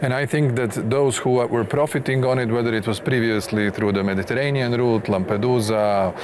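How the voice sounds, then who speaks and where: A man speaking as a politician in a formal panel debate, measured, diplomatic and insistent. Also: medium pitch, steady tone. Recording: clear background noise and ambience.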